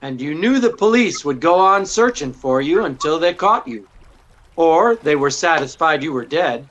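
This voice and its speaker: An actor playing a witty, self-assured detective, explaining things in an unruffled, dryly courteous way in 1940s radio drama style. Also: male voice, a little high, expressive, moderate pace, a bit bright, highs cut off, slight background noise. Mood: explaining coolly. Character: witty, self-assured male amateur detective laying out his deduction.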